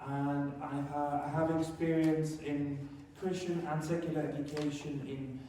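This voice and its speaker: A German-born teacher leads a workshop in a conference room, joking at his own expense between points. His voice sits fairly high for a man and rings around a very echoey room. He is picked up from a distance, with faint noise underneath. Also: level tone, measured pace, warm tone. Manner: self-deprecating, joking.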